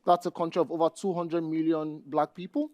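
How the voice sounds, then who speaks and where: A man with a Nigerian accent giving a conference presentation, speaking deliberately and addressing the audience directly. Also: on the high side, little pitch movement, darkish tone, no echo, clean studio sound. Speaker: conference speaker, database administrator.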